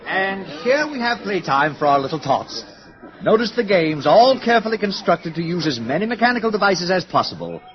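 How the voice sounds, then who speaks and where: An actor playing a pompous, fawning middle-aged director who lectures in a 1950s radio drama style. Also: male voice, high-pitched, very animated, fairly quick. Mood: smug lecturing. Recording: dull old radio broadcast recording.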